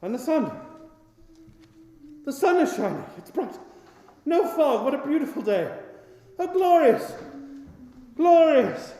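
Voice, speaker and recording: A man's voice: very high-pitched, big pitch swings, slow, long pauses, dark tone, full character voice. A man playing an old miser reformed into giddy generosity in a theatrical radio play, exclaiming and repeating his words. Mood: giddy wonder. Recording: echoey reverberant hall sound, music underneath. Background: music.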